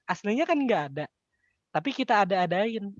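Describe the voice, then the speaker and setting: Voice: mid-range voice, expressive, normal speed, dry recording, highs cut off. A tutor with an Indonesian accent explains things step by step in an informal, colloquial way, often posing questions and answering them. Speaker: accounting tutor.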